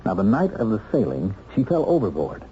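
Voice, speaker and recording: A low-voiced man, an earnest ex-convict husband in a radio play, measured and wry with small hesitations. The old recording is dull and a little noisy. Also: huge pitch swings, dark tone. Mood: earnest, intent. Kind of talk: radio drama acting.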